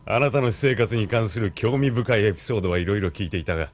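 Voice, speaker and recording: An actor in a Japanese audio drama playing a cool, formally polite military colonel who speaks in a measured, probing way. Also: male voice, lowish voice, expressive, a little hiss or hum, natural voice. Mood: composed, formal.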